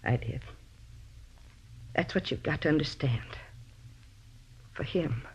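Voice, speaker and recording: A woman's voice: low voice, breathy edge, heavily acted. A middle-aged faded female star, possessive and bitter. She pleads and justifies herself in the heightened style of 1950s radio drama. The recording is dull, vintage lo-fi broadcast sound. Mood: broken, pleading.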